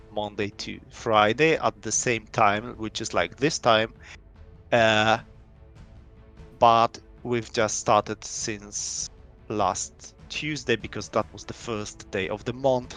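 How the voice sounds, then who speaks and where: A man's voice: lowish voice, fairly even intonation, slow, a bit bright, soft background music. A young man from Poland with a Polish accent, English not his first language, showing his indie game on a live stream and talking in a loose, rambling way with many restarts. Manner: rambling, lots of fillers and restarts.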